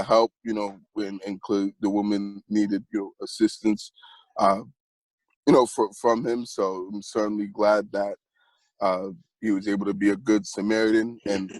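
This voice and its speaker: A man's voice: lowish voice, a little mellow, no room sound, low-quality recording. A young man co-hosting a sports podcast, talking casually with many fillers and restarts. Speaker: podcast co-host.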